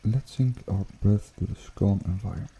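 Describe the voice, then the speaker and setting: Very dark, husky low-voiced man, hushed meditation. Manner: hushed, unhurried, with gentle pauses. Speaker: ASMR guided meditation host. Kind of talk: ASMR guided meditation, slow soothing narration. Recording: water trickling behind the voice. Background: pond water bubbling and trickling.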